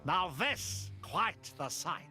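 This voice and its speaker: A man's voice: high voice, sing-song, takes it slowly, sharp tone, faint music behind, exaggerated voice. An actor playing a small scheming male fantasy creature with broken grammar in a video game cutscene. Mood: gloating.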